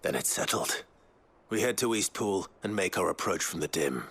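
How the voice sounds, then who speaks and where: A man's voice: deep voice, lively intonation, normal speed, breathy edge, exaggerated voice. An actor with a British accent playing a grim, resolute fantasy swordsman in a video game, speaking tersely and dryly. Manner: terse, dry. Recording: loud music behind.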